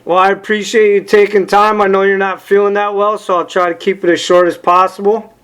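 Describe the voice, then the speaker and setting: A man's voice: high voice, level tone, fairly quick, a bit bright, clean tone. A man hosting an interview show, asking direct, conversational questions and checking facts with his guest.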